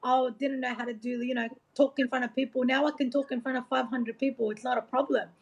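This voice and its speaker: High-pitched, creaky-voiced woman. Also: fairly even intonation, fast. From Iraq. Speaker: motivational coach being interviewed. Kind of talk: informal motivational interview talk. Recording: dull, lo-fi audio.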